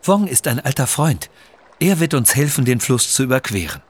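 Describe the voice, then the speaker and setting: A man's voice: medium pitch, very animated, normal speed, breathy edge. A man voicing a polite, earnest young Chinese beggar girl in a children's audio story. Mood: helpful.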